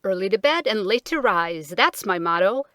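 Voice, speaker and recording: A woman's voice: medium pitch, expressive, moderate pace, a bit bright, no room sound, close to an everyday voice. Lady doing a grumpy sleepy old king, bossy and solemn, dry recording. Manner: drowsy, irritable, solemn.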